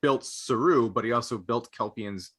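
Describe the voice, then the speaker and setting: Bright, forceful male podcast host. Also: mid-range voice, normal speed, husky. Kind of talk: casual group discussion.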